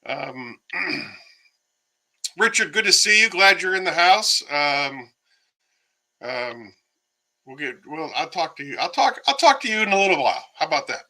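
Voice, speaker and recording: Man losing his voice, bright tone. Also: fairly high pitch, big pitch swings, measured pace, pauses often. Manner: rambling, many fillers, greets viewers by name. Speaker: livestream host. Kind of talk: casual livestream chat. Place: home, by himself.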